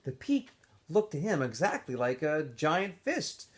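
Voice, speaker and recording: Animated man reading a storybook aloud. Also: fairly high pitch, a little slow. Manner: animated, acts out dialogue in shifting voices. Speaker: teacher reading a book aloud to students. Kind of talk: expressive storybook narration.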